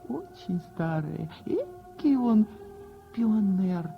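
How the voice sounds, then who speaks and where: An actress voicing a fretful old woman who mutters in halting, fussy bursts in a radio tale. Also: medium pitch, sing-song, gravelly, heavily acted. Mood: fussy wonder. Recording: dull radio recording with music behind.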